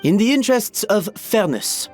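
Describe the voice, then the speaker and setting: Animated, grandly theatrical contest announcer. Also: male voice, sits high, normal speed, faint music behind. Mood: ceremonious. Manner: formal, ceremonious showmanship.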